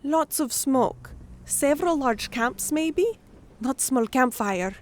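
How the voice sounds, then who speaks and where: A woman's voice: sits high, animated, takes it slowly, a little hiss or hum. A woman voicing a small, dutiful male sparrow harpy with clipped, broken third-person speech in a fantasy audio story. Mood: eager reporting.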